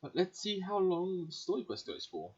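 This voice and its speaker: A man hosting a casual game playthrough in a husky voice, giving low-key, brief reactions and reading dialogue choices aloud. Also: very animated, moderate pace. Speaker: gaming video host. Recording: dull lo-fi mic, game music behind.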